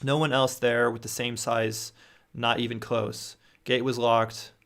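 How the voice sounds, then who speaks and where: A young man with a gravelly, mid-pitched voice reads viewer stories aloud on a livestream. He speaks close to a studio microphone in a small room at home. He often stumbles, restarts and adds his own reactions as he goes. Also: level tone, measured pace, a bit bright. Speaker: host reading viewer stories. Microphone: studio mic on boom near face.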